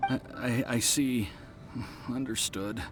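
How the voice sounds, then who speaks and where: A man's voice: mid-range voice, fairly even intonation, slow, lightly acted. A man playing a timid, lovestruck young man in a video game, nervous and hesitant. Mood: flustered, grateful. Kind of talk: video game character acting. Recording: music clearly behind. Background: game music.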